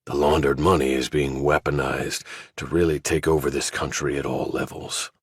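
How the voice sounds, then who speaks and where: A man voicing a stern retired general who runs a covert office, speaking in a commanding, deliberate way as audiobook dialogue. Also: very deep voice, flat delivery, slightly rough, dry recording, clean studio sound. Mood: grave, explanatory.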